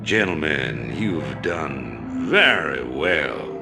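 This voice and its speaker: An actor playing a cold, power-hungry middle-aged rebel commander in a video game, imperious and menacing. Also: male voice, very deep voice, very animated, very slow, vocal fry, loud music behind the voice, full character voice. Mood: grandiose. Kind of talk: dramatic video game voice acting. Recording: loud score behind the voice.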